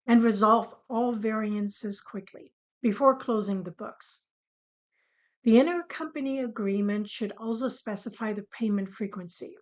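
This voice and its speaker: A woman instructor giving a scripted, explanatory lesson in a measured, formal way. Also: medium pitch. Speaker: accounting instructor. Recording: dull, lo-fi voice recording.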